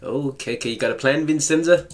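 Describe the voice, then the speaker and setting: A man's voice: on the high side, quick pace. A man casually commenting while playing a visual novel, playful and sarcastic, joking back at the story text.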